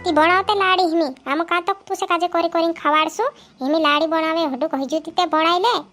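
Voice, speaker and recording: Very high-pitched nagging village mother, played by a woman. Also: a little fast, fairly bright tone, faint music behind. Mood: scolding. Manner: nagging, chiding. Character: scolding village mother nagging her son to marry. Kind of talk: cartoon comedy dialogue.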